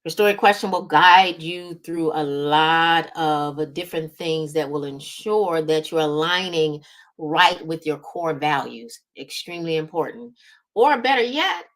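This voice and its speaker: A woman hosting a motivational business talk as a strategist, conversational and emphatic, often checking in with short tag questions. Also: low voice. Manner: conversational, emphatic repetition, frequent check-in tags like right and okay. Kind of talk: motivational business coaching talk to online audience.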